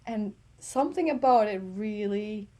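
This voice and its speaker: A woman from Latvia co-hosts a chatty podcast with a mellow, warm tone. She talks in a relaxed way, dropping in quick asides. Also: medium pitch. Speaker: podcast co-host. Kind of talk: casual chat.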